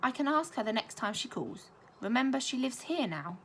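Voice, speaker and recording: Woman doing a soft patient mum, gentle and thoughtful, sing-song lilt. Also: fairly high pitch, sing-song, normal speed, slight background noise, pitched above the performer's own voice.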